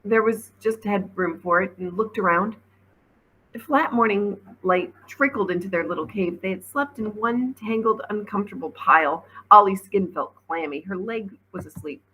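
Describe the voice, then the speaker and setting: A woman, a teacher reading a storybook aloud with expressive narration. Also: medium pitch, moderate pace, slightly rough, poor audio.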